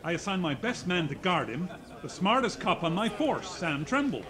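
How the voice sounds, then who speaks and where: Agitated, higher-pitched police inspector. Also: male voice, big pitch swings. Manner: fretful, hurried. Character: agitated American male police inspector, fretful. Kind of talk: 1940s radio drama acting. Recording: vintage radio recording, crowd noise behind.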